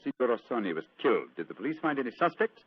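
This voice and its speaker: An actor playing a keen, courteous gentleman detective in an old-time radio drama, clipped and probing in manner. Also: mid-range voice, big pitch swings, racing, husky, no echo. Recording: dull, lo-fi old radio recording.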